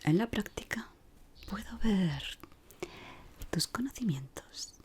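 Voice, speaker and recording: A woman from whispers softly and without hurry in an ASMR roleplay, gently giving instructions as a decorator guiding a newcomer. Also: mid-range voice, lively intonation, a little hiss or hum. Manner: soft, unhurried, gently instructing.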